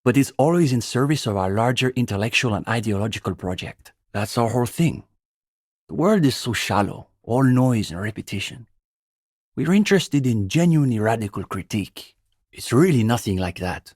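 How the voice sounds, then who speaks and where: Man playing an earnest, pompous young student radical, husky. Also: mid-range voice, expressive, moderate pace, a little mellow, no room sound, clean studio sound, natural voice. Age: young adult. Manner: pompous, bookish, precise, lecturing. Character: earnest young male communist student, pompous intellectual zealot. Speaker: student leader of a revolutionary reading group. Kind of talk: video game character dialogue.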